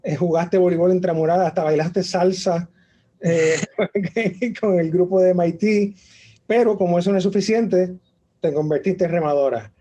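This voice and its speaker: A woman's voice: fairly low pitch, moderate pace, warm tone, lo-fi sound. A woman hosting an interview, hesitant and repeating words as she asks questions over a video call. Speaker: host.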